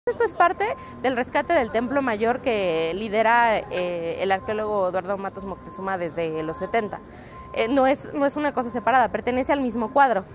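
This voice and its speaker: A woman's voice: on the high side, normal speed, slight background noise. A woman in her thirties with a Mexican accent, a reporter explaining at length in a street interview, fluent but with frequent "eh" fillers. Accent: Mexican Spanish. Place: outdoors on a busy city street. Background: faint street ambience.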